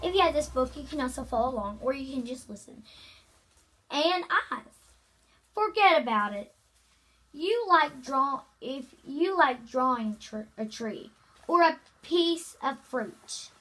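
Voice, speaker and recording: Amateur female read-aloud host, high and bright, unhurried, halting delivery with repeated words and informal asides. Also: lively intonation, low-quality recording. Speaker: amateur reader hosting a read-aloud video. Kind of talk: reading a children's novel aloud with casual asides.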